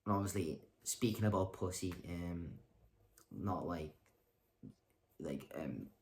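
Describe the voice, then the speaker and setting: Low-voiced young man rambling. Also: slow. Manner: rambling, hesitant, full of ums and self-corrections; deadpan self-mocking humor. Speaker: vlogger. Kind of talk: casual talking-to-camera monologue.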